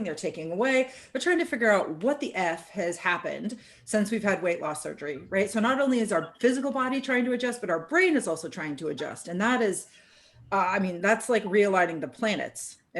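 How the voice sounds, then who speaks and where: A woman hosting a casual group chat, upbeat and encouraging, often ending thoughts with "right?". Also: mid-range voice. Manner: upbeat, encouraging, chatty with many "right?" tags. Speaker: host.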